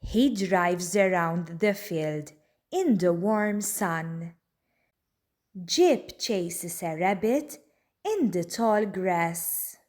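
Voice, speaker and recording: A woman reading a children's storybook aloud in a deliberate, expressive way. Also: on the low side. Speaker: children's book reader. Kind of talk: read-aloud storybook narration.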